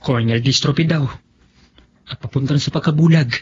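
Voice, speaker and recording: An actor playing a devoted young son in a radio drama, respectful, earnest and determined. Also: male voice, on the high side, fairly quick, close to an everyday voice. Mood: earnest, hopeful. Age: young adult. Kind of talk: Tagalog radio drama acting. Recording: dull lo-fi audio, faint noise.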